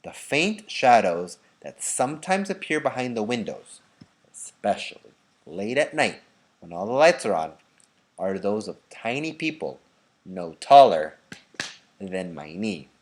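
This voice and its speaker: A man voicing a gentle old grandfather in a storybook, telling a mystery softly, slowly and deliberately. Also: lowish voice, sing-song. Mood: mysterious, knowing. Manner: soft, hushed, slow and deliberate.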